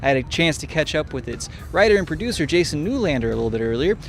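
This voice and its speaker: A man with a fairly high-pitched voice presents an entertainment report in the style of an on-location reporter. Music plays faintly to clearly behind his words. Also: sing-song, fast. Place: outdoors on location for the introduction.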